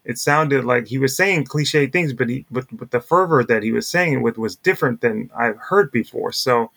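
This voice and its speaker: Male sports podcast co-host, medium pitch, casual, thinks out loud. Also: moderate pace, gravelly. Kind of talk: casual baseball discussion.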